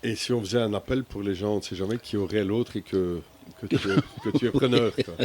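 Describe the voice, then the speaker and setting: A man's voice: on the low side, brisk, darkish tone. A man hosting a radio show in casual, unscripted chat, jocular and often repeating himself as he goes. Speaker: radio host.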